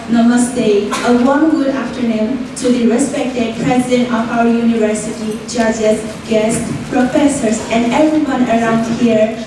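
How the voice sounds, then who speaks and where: Young Nepali-accented woman, fairly high voice, earnest student speech, picked up from a distance in a very echoey hall. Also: background noise. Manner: earnest, fills with "like" and "you know". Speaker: student speaker. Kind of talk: prepared stage speech. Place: university event hall. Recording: roomy hall audio from far off.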